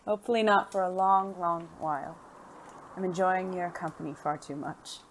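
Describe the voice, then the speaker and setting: An actor playing a suave, genteel gentleman secret agent in an audio drama, with formal, refined phrasing and a playful, flirtatious, teasing manner. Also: medium pitch, normal speed, a little hiss or hum, natural voice, rough recording.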